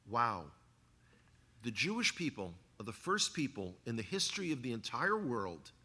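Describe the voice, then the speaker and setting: A man with a Bronx New York accent giving a church talk, animated and cracking jokes to the congregation. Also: on the high side. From New York, USA. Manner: animated, joking asides to the congregation. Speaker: guest speaker, former tour guide. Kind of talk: sermon-style talk. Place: church hall. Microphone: handheld microphone.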